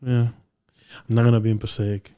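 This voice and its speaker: A man's voice: lowish voice, little pitch movement, moderate pace, mellow, smooth. A man from the Dominican Republic hosting a podcast, talking casually with his guest. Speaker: podcast host. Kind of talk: casual interview chat.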